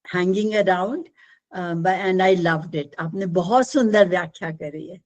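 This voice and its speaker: A woman's voice: fairly low pitch, very fast, a little mellow, no echo. A middle-aged or older woman, chatting casually as a visitor to a group. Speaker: mother of a group member, greeting the teacher. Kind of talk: casual chat.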